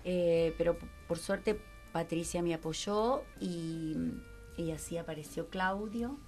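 Unhurried Argentine actress, low voice. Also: female voice, soft background music. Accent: Rioplatense Spanish. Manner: animated, laughs often, trails off with fillers. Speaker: guest actress. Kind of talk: casual radio interview. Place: small radio studio with foam walls. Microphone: studio mic and headphones.

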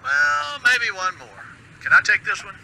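An actor playing a wry male radio producer making quick asides to the host. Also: very high voice, animated, creaky, pushed and bright, a little hiss or hum, heavily acted, poor audio. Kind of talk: video game voice acting.

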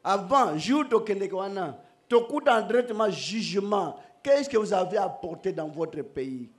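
A middle-aged man preaching a sermon into a stand microphone on an outdoor church stage, animated and joking, swinging between shouts and soft asides. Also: high voice, moderate pace.

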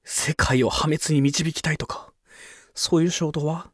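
A woman's voice: deep voice, fairly even intonation, a little slow, dry recording, clean studio sound. A woman playing a mild, explanatory teenage club vice-president in a comedic anime-style voice drama. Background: background music.

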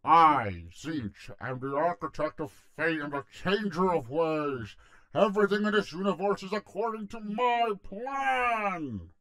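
A man's voice: fairly high pitch, animated, a little slow, sharp tone, smooth, full character voice, poor audio. Pompous scheming god of fate played by a man. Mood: grandiose. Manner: grandiose, theatrical. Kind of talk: comic dub character acting.

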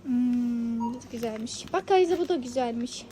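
A woman's voice: on the high side, expressive, normal speed, dark tone. A woman chatting casually to her young child, coaxing with repeated questions in a sing-song manner. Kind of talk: casual child-directed chat. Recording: noisy location recording.

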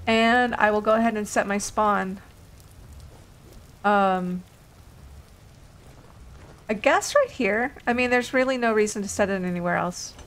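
A woman's voice: medium pitch, measured pace, pauses often, a bit bright. A woman hosting a casual let's play, thinking aloud unhurriedly while getting over an illness. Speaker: gaming video host.

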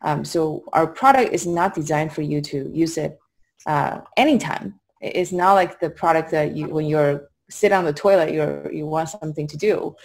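Low-pitched young woman with a Chinese accent. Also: moderate pace. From Qingdao, China. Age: young adult. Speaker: startup founder, interview guest. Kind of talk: conversational interview answers. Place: indoors on a video call. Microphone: video call at desk distance.

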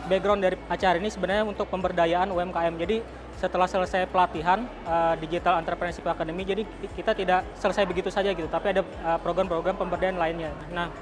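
A man giving an explanatory interview answer at an indoor exhibition. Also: sits high. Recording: crowd murmur behind voice.

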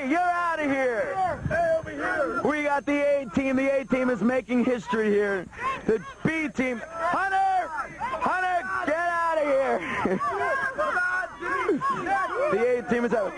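Loud, excited man calling a beach contest. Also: very high-pitched, slow, long pauses. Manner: shouting, teasing, laughing. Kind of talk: excited play-by-play commentary. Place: outdoor beach party. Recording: noisy 1980s outdoor video.

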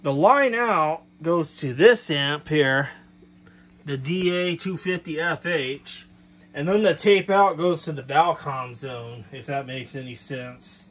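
A man giving a casual hands-on demonstration as a hobbyist, explaining in a halting way with self-corrections. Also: fairly high pitch, fairly bright tone. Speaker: hobbyist presenter. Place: cluttered bedroom, indoors. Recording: clear background noise, room ambience.

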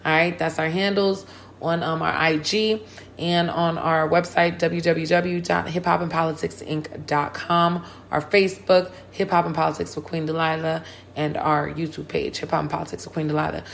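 A hoarse woman hosting a hip hop and politics podcast, talking casually and full of slang and hype. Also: low-pitched, level tone, normal speed, slight background noise. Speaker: podcast host. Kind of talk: casual chat.

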